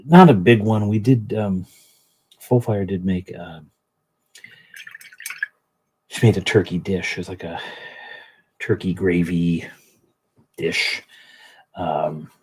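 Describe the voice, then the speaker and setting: A man hosting a livestream, chatting casually and muttering quiet asides to himself as he paints. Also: sits low, takes it slowly, long pauses, a little hiss or hum. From Canada. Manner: soft, muttering to himself, drifting between quiet asides and chat. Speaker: livestream host painting miniatures. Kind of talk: casual chat while painting.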